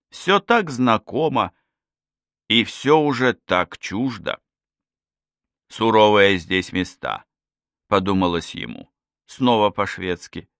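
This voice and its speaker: A man's voice: fairly low pitch, a bit bright, dry recording, clean studio sound. A man reading literary fiction aloud as a scripted audiobook narration.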